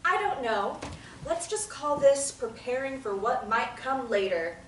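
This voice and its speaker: A slightly high actress's voice playing a flippant, nosy female therapy patient in a drama reading. She is bold, casual and sardonic. The recording is roomy with faint noise. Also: lively intonation, unhurried. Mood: flippant, dismissive. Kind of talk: dramatic table reading of a play. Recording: echoey room, distant mic, noise.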